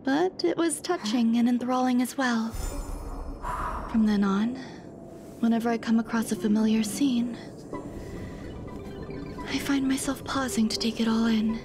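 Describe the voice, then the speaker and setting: An actress playing a serene, clinical female scientist in a video game, speaking in a measured, soft, cryptic way. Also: on the high side, music in the background. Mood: wistful, serene. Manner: measured, soft, breathy near-whisper at line ends. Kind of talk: scripted video game voice acting.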